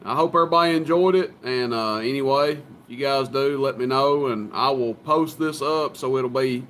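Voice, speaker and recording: A man's voice: medium pitch, moderate pace, fairly bright tone, slight background noise. A man chatting casually as the host of a CB radio live stream. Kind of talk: casual chat.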